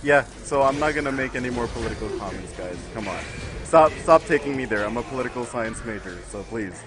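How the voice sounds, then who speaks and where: A man's voice: medium pitch, animated, a little fast, music in the background. A young man from the United States chatting loosely as a guest commentator on a fighting game stream, with lots of fillers and frequent laughs. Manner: rambling, laughs often, many fillers. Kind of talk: casual chat with stream chat.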